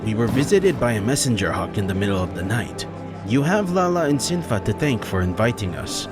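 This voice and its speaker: A man's voice: fairly low pitch, big pitch swings, brisk. A man playing an easygoing, courteous fantasy master in an audio drama. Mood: wry, friendly. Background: music.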